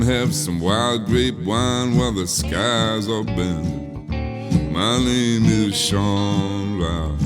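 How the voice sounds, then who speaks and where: Deep-voiced male country singer, slow delivery over loud guitar. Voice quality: very low voice. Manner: slow drawling singing. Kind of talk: country song vocal.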